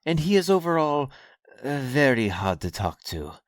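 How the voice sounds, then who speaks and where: A man's voice: medium pitch, sing-song, no echo, studio quality, close to an everyday voice. Man acting a sly, sing-song alien raider lord. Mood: sly feigned innocence. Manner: mock-polite, sardonic, drawn-out menace. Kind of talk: scripted audio drama acting.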